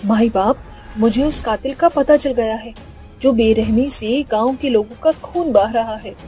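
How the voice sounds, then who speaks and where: A woman's voice: on the high side, a little slow, dark tone, faint music behind, close to an everyday voice. A woman voicing a frightened, gossipy village woman in a cartoon, reporting in an urgent, fearful way.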